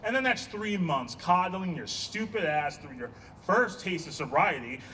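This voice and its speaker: High-pitched, exasperated man acting on stage. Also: lively intonation, moderate pace. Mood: bitter, angry. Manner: emphatic, sarcastic, sharp-tongued. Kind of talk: staged play reading. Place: small outdoor stage by a block wall. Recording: distant outdoor stage pickup, faint ambient noise.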